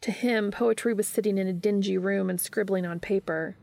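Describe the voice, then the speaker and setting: A woman's voice: medium pitch, steady tone, normal speed, vocal fry, darkish tone, dry recording. A woman reading a story aloud as scripted narration. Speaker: fan fiction reader.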